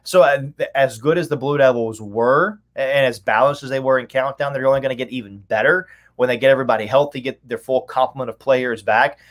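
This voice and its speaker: A man's voice: medium pitch, fairly quick, hardly any pauses. A man in his thirties giving conversational sports commentary as a guest on a video call from a room at home. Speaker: guest, radio play-by-play announcer.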